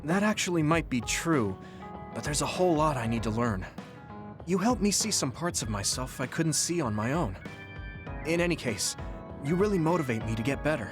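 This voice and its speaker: A man's voice: on the high side, expressive, normal speed, lightly acted. A man voicing an earnest, sincere young male soldier in an anime game dub, reflective in delivery. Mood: grateful, hopeful. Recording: music playing behind the voice. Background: game background music.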